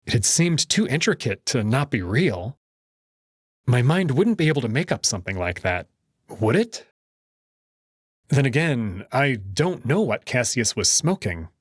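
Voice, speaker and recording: A man's voice: mid-range voice, very animated, measured pace, slightly rough, no echo. A man reading a visual novel as dramatic first-person scripted narration. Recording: dry close voice over faint music.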